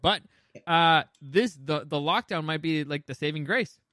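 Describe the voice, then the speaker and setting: A man's voice: fairly high pitch, measured pace, sharp tone, dry recording, studio quality. A man hosting a casual movie chat, opinionated and emphatic, swearing freely. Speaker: podcast host.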